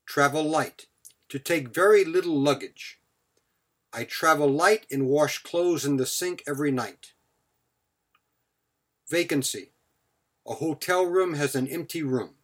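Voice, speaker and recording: A man's voice: fairly high pitch, a little slow, long pauses. A man giving a scripted English vocabulary lesson as a teacher, speaking in short, clipped phrases followed by example sentences.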